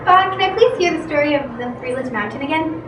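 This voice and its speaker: A woman's voice: high voice, lively intonation, fast. A female amateur performer playing an eager, curious young daughter in readers theatre, asking pleading questions. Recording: distant, echoey, very noisy room with clatter.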